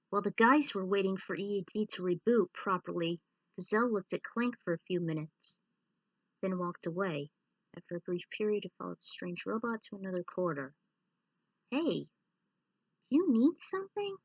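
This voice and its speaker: A woman's voice: mid-range voice, a bit bright, dry recording, recorded from a distance, low-quality recording. A woman reading a scripted story as its narrator, stumbling over the odd word. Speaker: narrator reading own fan fiction.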